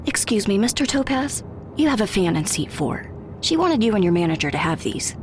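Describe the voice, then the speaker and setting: A woman's voice: mid-range voice, big pitch swings, brisk, background noise, close to an everyday voice. Woman as lilting, polite flight attendant. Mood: polite, attentive. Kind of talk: audio drama acting.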